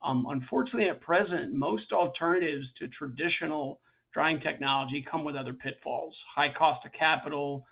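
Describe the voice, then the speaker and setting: A man's voice: on the high side, a little fast, vocal fry, husky. A man, a company executive, giving a methodical, formal explanation to investors on an earnings call. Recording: slightly muffled call audio.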